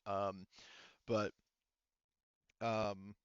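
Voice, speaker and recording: A man working as game master, explaining tabletop rules casually in a wordy, halting way that often doubles back on itself. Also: fairly low pitch, little pitch movement, clean tone, no echo, lo-fi sound. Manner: halting, self-interrupting, wordy.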